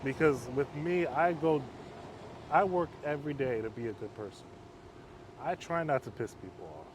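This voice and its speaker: Male counselor guest, measured and flat-toned, casual talk recorded outside near traffic. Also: fairly high pitch. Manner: calm, measured, self-described monotone. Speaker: interview guest, halfway home counselor. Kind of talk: casual conversation. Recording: outdoor street noise.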